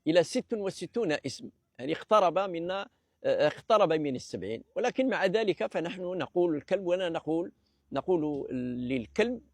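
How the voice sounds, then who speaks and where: Man from Algeria, gravelly and a little high, lecturing in a hesitant, measured way; dry close recording. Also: lively intonation, darkish tone, no echo. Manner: hesitant, many fillers and restarts; measured, explanatory. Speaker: presenter of a language talk series. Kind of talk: unscripted lecture.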